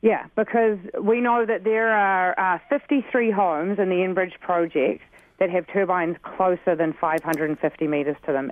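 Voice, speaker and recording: Brief: earnest New Zealand-accented man, higher voice, phone-line sound, frustrated advocate. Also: no echo. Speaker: community group spokesperson on a radio call.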